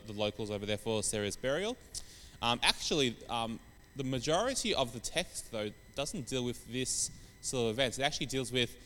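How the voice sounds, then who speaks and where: A man with a croaky voice from hay fever, giving a relaxed sermon with many pauses and fillers. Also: mid-range voice, in a large hall. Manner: rambling, many fillers and restarts. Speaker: preacher. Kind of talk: informal sermon on scripture.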